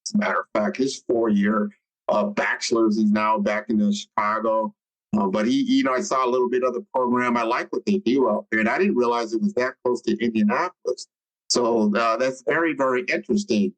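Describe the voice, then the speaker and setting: A man's voice: medium pitch, brisk, low-quality recording. Conversational male podcast host. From Omaha, Nebraska. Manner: conversational, animated questions. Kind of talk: podcast interview.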